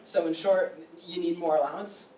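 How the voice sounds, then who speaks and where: Woman playing a lowish-voiced, sardonic best friend. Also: fairly even intonation, moderate pace, warm tone, natural voice. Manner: dry, blunt. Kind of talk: staged play reading. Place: room at a live staged reading. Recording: echoey room, distant mic, faint noise.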